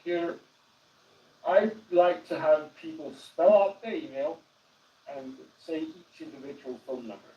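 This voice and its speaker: A man with a Danish accent gives an animated, casual walkthrough of AI phone agents on a live stream, often restarting his sentences. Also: on the high side, unhurried, far from the mic, highs cut off. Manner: animated, explanatory, with many restarts. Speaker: guest explaining AI phone agents. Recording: roomy echo, voice away from the mic.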